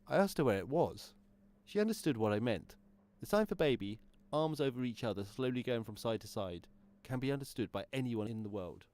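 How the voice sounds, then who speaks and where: A man's voice: medium pitch, darkish tone, dry recording, lightly acted. A man acting an earnest deaf man in a radio play monologue, telling his story in simple, literal steps. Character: earnest deaf man recounting events plainly. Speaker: actor in a student radio play. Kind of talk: first-person radio drama monologue.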